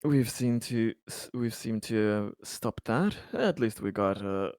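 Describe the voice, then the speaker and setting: A man's voice: lowish voice, animated, unhurried, darkish tone, no room sound, clean studio sound. The sardonic, self-important chief holding court in a starship galley in a tabletop sci-fi game, acted out by a man, closely recorded. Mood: musing. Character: pompous, sarcastic male leader of a space expedition.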